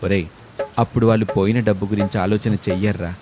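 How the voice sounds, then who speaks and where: A man's voice: on the low side, steady tone, fast, over music, voice pushed down, natural voice. A man playing a supportive friend who gives firm advice in an earnest, reassuring way.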